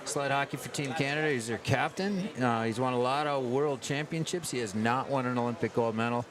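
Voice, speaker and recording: An American man hosting a livestream from a sports event in a casual, halting way, pausing often mid-sentence. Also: mid-range voice, crowd noise. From United States. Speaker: livestream host at an ice hockey tournament. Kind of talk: casual live chat. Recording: clear urban ambient noise, live on location.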